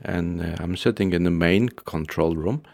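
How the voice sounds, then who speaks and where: A man's voice: low-pitched, normal speed, gravelly, a little mellow, no echo. A middle-aged man from the Faroe Islands, a guest in a studio interview, talking casually with many fillers and restarts. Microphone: studio mic.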